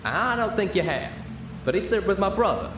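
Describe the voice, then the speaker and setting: A down-home country mare with a drawl as a male reader puts her on, pitched up from his usual voice. She sounds sincere and plain-spoken, like a storybook farm girl. The room echoes, with noise behind. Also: sing-song, moderate pace, far from the mic. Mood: earnest. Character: folksy, plain-spoken, earnest female country pony. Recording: roomy with clear background noise.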